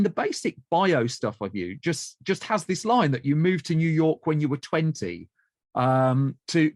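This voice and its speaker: A man's voice: fairly high pitch, dry recording, clean studio sound. A man hosting a podcast interview, eager and given to stammering restarts as he asks questions. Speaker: podcast host. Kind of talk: casual interview chat.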